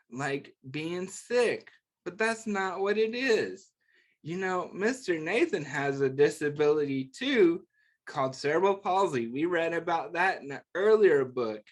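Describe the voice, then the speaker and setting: A man's voice: fairly high pitch, fairly bright tone. A man hosting story time, reading a picture book aloud to children and adding simple, encouraging asides and questions. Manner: simple, encouraging, instructive phrasing aimed at young children.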